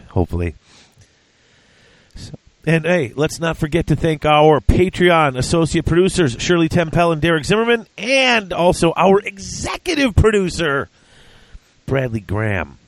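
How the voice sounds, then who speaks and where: A man's voice: fairly high pitch, no echo. A man hosting a casual podcast, congested and breathing hard, chatty and self-deprecating with many fillers. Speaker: podcast host.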